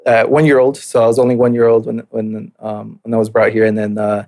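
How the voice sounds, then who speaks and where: A man's voice: on the low side, monotone, fairly quick, darkish tone. Laid-back young man, hesitant storytelling. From Mexico, raised in southern California and Utah. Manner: hesitant, many fillers and restarts, laid-back. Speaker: podcast guest. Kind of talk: casual interview storytelling.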